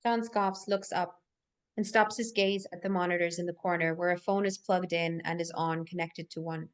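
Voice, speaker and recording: Female narrator and host for a screenplay table read, slightly low register. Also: fairly even intonation, moderate pace. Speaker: stage-direction narrator and host. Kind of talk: scripted narration.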